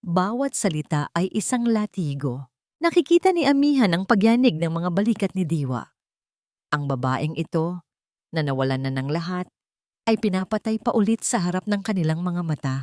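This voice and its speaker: Low-pitched woman narrating a dramatic story. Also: very animated, moderate pace, a little mellow, dry recording, studio quality.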